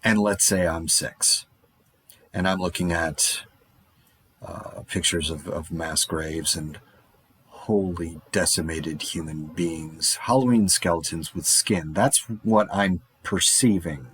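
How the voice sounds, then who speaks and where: A man voicing a lone survivor of the zombie end times, wry and wandering in thought, with a husky low voice. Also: slow, a bit bright, exaggerated voice. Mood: musing. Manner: rambling, darkly wry monologue. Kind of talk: audio drama monologue.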